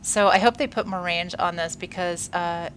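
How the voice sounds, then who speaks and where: A woman's voice: lowish voice, moderate pace, a bit bright, a little hiss or hum. A woman with a congested, slightly wheezy voice, giving upbeat, casual commentary on a space game while she plays. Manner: chatty, upbeat, frequent "uh" fillers. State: congested after a workout and illness. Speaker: gaming video creator.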